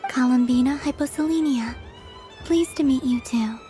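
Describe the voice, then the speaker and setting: A woman voicing a gentle, serene, curious young moon goddess in an anime game, soft-spoken, polite and understated. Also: sits high, moderate pace, lightly acted. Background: music.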